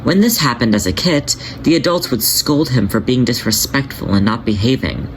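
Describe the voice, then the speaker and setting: A man reads his own fan fiction aloud in a steady, measured way. His voice sits at an ordinary middle pitch, with a plain homemade audiobook feel. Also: background noise. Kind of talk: podfic story narration.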